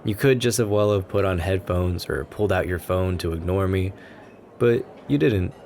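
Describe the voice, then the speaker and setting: A man plays a bashful, kind-hearted writer making nervous small talk in an ASMR roleplay. His voice is low and soft, with an earnest, rambling delivery. Noticeable coffee shop ambience sits behind him. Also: moderate pace, a little hiss or hum. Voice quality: gentle, soft-spoken. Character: shy, sweet, nervous writer chatting up a café stranger.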